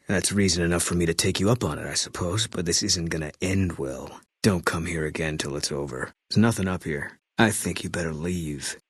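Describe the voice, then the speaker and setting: A middle-aged man playing a bitter, grieving ex-soldier sniper in a video game, speaking in terse, clipped, grim lines with a husky voice. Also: deep voice, dry recording, clean studio sound.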